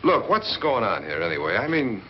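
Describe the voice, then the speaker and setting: An actor playing an easygoing, skeptical pilot in a 1950s horror film, talking in a casual, hesitant way. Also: male voice, fairly low pitch, sing-song, moderate pace. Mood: puzzled, concerned. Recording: muffled vintage film soundtrack, faint noise.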